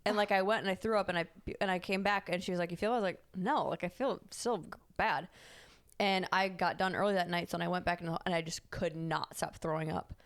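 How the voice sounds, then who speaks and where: A woman's voice: lowish voice, fairly even intonation, fairly quick. A woman co-hosting a podcast who tells a long rambling story and corrects herself as she goes. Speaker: podcast co-host. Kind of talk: casual chat.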